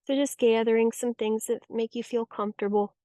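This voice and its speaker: Woman doing a guided yoga nidra, soft mellow tone, slightly high voice, super dry close sound. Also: fairly even intonation, no room sound. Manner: soothing, unhurried, gentle instruction. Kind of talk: guided relaxation meditation.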